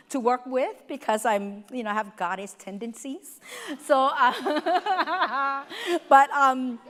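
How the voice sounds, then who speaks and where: A woman with a Samoan accent, whose first language is Samoan, giving a warm and humorous welcome speech as a faculty member at a conference. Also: a little high. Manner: warm, heartfelt and humorous, with lists spoken slowly and deliberately. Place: large conference hall. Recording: hall with long reverb.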